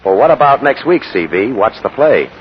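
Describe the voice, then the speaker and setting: A man's voice: mid-range voice, big pitch swings, normal speed. A man delivering scripted banter on a 1940s radio program. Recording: dull, very noisy 1940s radio broadcast.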